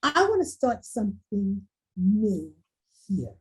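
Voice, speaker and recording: A woman's voice: mid-range voice, very animated, takes it slowly, a little mellow, slightly rough, exaggerated voice. A woman playing an earnest, determined school principal making a rousing speech in a screenplay table read. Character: earnest, determined female school principal rallying staff and students.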